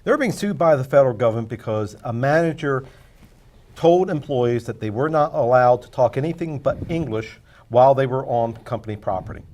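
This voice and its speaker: A man's voice: medium pitch, expressive, brisk, warm tone. Blunt, opinionated man. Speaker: panelist. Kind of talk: casual panel discussion.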